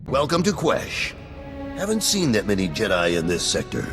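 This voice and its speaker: Deep, creaky military officer. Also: male voice, huge pitch swings, normal speed, over music. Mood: brisk, matter-of-fact. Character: gruff military officer greeting and briefing newcomers.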